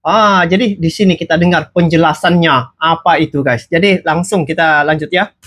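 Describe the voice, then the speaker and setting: A woman's voice: sits low, moderate pace, a bit bright, dry recording, clean studio sound. A woman from Sabah with a Sabah Malaysian accent, giving casual, conversational reaction commentary as a channel host, speaking directly to viewers. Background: music.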